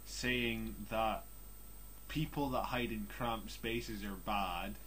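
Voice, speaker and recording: A man's voice: lowish voice, fairly even intonation, takes it slowly, fairly bright tone, husky. A young Scottish man chatting along with a story reading, asking amused questions and teasing. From Scotland. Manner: quizzical, laughs and teases the reader. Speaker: co-reader. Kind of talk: casual chat.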